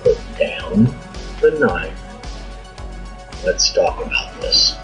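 An actor playing a wary, dry-witted henchman in an audio drama, with a wry, deliberate delivery. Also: sits low, big pitch swings, over music, full character voice. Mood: frightened.

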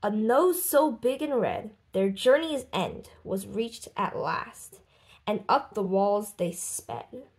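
A woman reading a children's book aloud expressively, with deliberate, dramatic phrasing and lifted voices for dialogue. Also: medium pitch, animated, darkish tone. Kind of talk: expressive storybook read-aloud.

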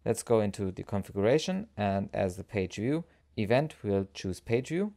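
A man narrating a software tutorial as its presenter, explaining things step by step. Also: lowish voice, very animated, moderate pace, gravelly.

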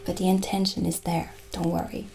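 A woman playing a gentle, dreamy witch shopkeeper in an improvised ASMR roleplay, musing soothingly with pauses. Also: fairly low pitch, flat delivery, over music, natural voice, rough recording.

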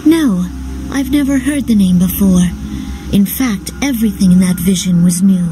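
A woman's voice: mid-range voice, normal speed, over music, close to an everyday voice, poor audio. A woman playing an earnest, resolute young heroine in a video game, speaking firmly and politely.

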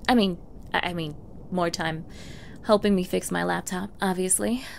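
A woman's voice: lowish voice, moderate pace. A woman playing a flustered, clingy young yandere in an ASMR girlfriend roleplay, with a coy, stammering manner and feigned ditzy innocence. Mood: flustered, eager. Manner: stammering, coy, eager, breathy asides. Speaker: roleplay voice performer. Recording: faint background noise.

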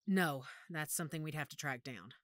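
A woman playing a commanding, calculating werewolf pack mother in an audio drama, deliberate and decisive. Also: low voice, no room sound, clean studio sound, close to an everyday voice. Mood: grim, reasoning.

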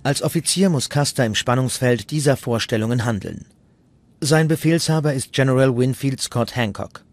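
A man's voice: mid-range voice, moderate pace. A man narrating a historical documentary from a script. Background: music.

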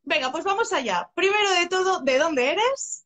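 A woman's voice: extremely high pitch, animated, moderate pace, fairly bright tone. A bubbly, effusive woman with an accent from Spain, chatting casually as host of an interview from a room at home. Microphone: phone held close, live video call.

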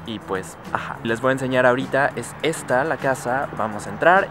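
A man's voice: mid-range voice, brisk, fairly bright tone, soft background music. A young man in his twenties with a Mexican accent, chatting casually and giggling as he gives a house tour, holding the camera at arm's length. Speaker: vlogger. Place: mobile home, indoors and outdoors. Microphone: handheld camera at arm's length.

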